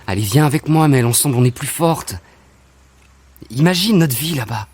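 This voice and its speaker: A man's voice: medium pitch, animated, normal speed, a little mellow, breathy edge. Man playing a fed-up, hopeful athlete, insistent. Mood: fed up, pleading. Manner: colloquial, insistent. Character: Raph, fed-up, hopeful athlete dreaming of escape. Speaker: actor in an audio drama. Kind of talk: naturalistic French audio fiction acting.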